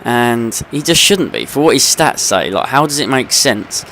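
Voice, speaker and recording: A man's voice: mid-range voice, expressive, moderate pace, bright, forceful tone, slight background noise. A young man with a slight cold, ranting in casual gameplay commentary and swearing freely. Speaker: gaming video host.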